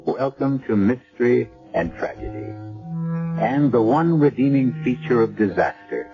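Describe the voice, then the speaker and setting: A man hosting a radio mystery drama, narrating with measured, deliberate pauses. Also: mid-range voice, lively intonation. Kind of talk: theatrical scripted narration. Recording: dull old radio recording with music behind. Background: music.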